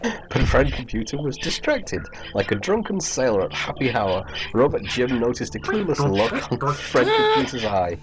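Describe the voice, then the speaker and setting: A man's voice: mid-range voice, huge pitch swings, normal speed. A man narrating a comic improvised story while gaming, with a playfully dramatic delivery. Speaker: storyteller and game player. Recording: hobby internet recording with clear noise.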